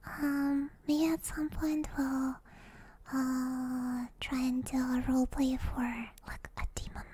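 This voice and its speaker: A woman chatting softly and casually to livestream viewers in a breathy, mostly whispered ASMR style, close to the microphone. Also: high-pitched, slow, rough recording. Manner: soft-spoken, relaxed, rambling. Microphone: close binaural ASMR microphone.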